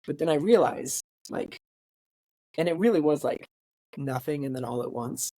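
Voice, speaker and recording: A man in his late twenties, a podcast guest talking candidly in a reflective, halting way with many fillers and restarts. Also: fairly high pitch, expressive, mellow, no echo, poor audio. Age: 20s. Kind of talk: candid conversational interview.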